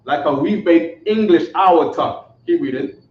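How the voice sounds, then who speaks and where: Man leading a religious class, high voice, emphatic, muffled lo-fi recording. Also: normal speed. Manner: emphatic, keeps asking "you see what I'm saying?". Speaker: religious teacher. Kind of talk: live online scripture class. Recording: echoey, distant, muffled.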